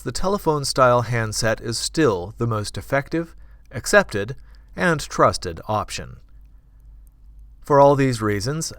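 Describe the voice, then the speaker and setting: Man, mid-pitched voice, scripted product narration, corporate presenter, measured, clearly enunciated, dry studio sound. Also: a bit bright, no echo. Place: dry studio voice-over recording.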